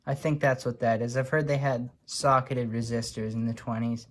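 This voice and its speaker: A man's voice: medium pitch, level tone, normal speed. A man giving casual, unscripted show-and-tell commentary in a dry, wry manner, like a hobbyist vlogger. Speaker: vlogger showing old radio repairs.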